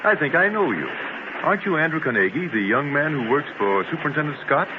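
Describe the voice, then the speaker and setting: An actor playing an eager, folksy, persuasive inventor in a 1930s radio drama. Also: male voice, a little high, sing-song, fast. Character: eager, folksy, persuasive male inventor pitching his creation. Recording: muffled, noisy 1930s radio transcription.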